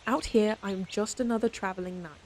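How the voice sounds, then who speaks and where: A woman's voice: medium pitch, steady tone, fairly quick, a little mellow, slight background noise, lightly acted. A woman playing a proud, stern lady knight in an audio roleplay, scolding her companion in an exasperated but secretly fond way. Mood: defensive, haughty. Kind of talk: audio roleplay acting, speaking to the listener.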